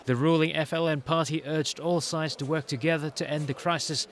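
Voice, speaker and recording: Higher-pitched male news reporter, noisy background. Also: fairly even intonation, fairly quick. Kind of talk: scripted news narration.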